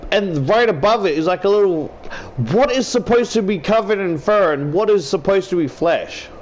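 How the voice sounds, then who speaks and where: A man giving casual, rambling game commentary, halting and swearing freely as he talks. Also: sits high, rough recording. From Australia. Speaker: YouTuber playing and reading a visual novel. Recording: clear steady air-conditioner background noise.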